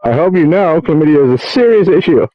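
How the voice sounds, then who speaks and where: A man's voice: fairly high pitch, expressive, fast, no echo, close to an everyday voice. A man voicing a puppet show host who gives mock-serious announcements, improvised in a virtual puppet show. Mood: mock-solemn. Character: puppet show host giving a solemn health warning. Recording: dull lo-fi game voice chat.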